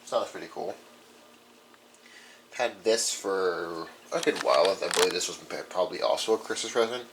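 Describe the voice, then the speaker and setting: A man's voice: low voice, normal speed. A young man giving a casual, rambling show-and-tell of his collection in an informal way, recorded in a room. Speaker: radio collector. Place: indoor room. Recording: some background noise.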